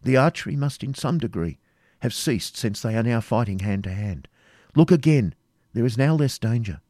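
A man's voice: mid-range voice, lively intonation, normal speed, warm tone, dry recording, studio quality, voice pushed up, lightly acted. A man playing a fierce, chivalrous wounded knight in an audiobook, making impatient demands in archaic exclamations. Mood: eager, urgent. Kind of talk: dramatic audiobook character reading.

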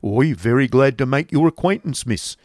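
A man voicing a coarse, oily, sly schoolmaster from a storybook, with a rough, hesitant drawl. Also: medium pitch, animated, normal speed, darkish tone, no room sound, studio quality, pitched above the performer's own voice, lightly acted. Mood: oily, jovial.

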